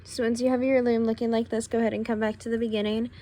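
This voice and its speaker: A young woman with a tired, raspy voice, casually talking through a craft tutorial step by step while she works. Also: fairly high pitch, fast talker. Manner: relaxed, talks while working with hands.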